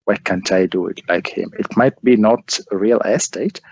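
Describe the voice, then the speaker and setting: A man hosting an interview, affirming the guest and pausing on frequent uh fillers. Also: on the low side, gravelly, breathy edge, dull audio. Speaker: interviewer host. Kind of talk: casual interview talk.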